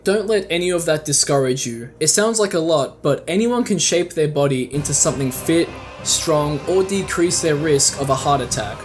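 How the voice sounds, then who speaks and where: A young adult man delivering casual scripted video commentary in an earnest, encouraging, informal way. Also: a little high. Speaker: YouTube video narrator. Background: music.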